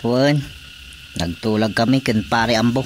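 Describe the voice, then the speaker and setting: A man's voice: medium pitch, unhurried, slight background noise, natural voice. A man acting an easygoing, stubborn fisherman husband in an Ilocano-accented radio drama comedy, with a teasing, dismissive manner. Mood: matter-of-fact. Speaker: voice actor.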